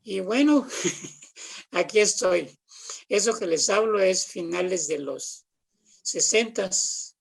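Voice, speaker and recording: A man's voice: high voice, animated, slow pace, creaky, pauses often, breathy edge. A Mexican man in his seventies chatting anecdotally as an interview guest, retelling conversations in a storytelling way. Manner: chatty storytelling with acted-out quotes. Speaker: guest theatre practitioner. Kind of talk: casual anecdotal interview talk.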